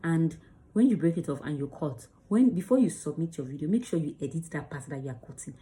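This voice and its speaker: Low mellow-voiced woman coaching actors. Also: expressive, fast. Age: adult under 35. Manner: chatty, emphatic, demonstrates lines. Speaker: acting coach. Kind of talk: casual teaching to camera.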